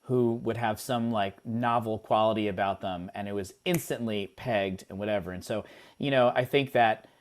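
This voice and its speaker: Joking, interrupting man in casual chat. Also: lowish voice. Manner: quick, joking, prone to interrupting. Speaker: podcast guest. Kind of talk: casual conversation.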